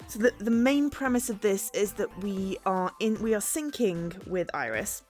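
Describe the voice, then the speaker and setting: A woman chatting as she streams a game, a bit hoarse from a sore throat, bubbly and quick to laugh. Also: mid-range voice, lively intonation, darkish tone, soft background music. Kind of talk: casual game-stream chat.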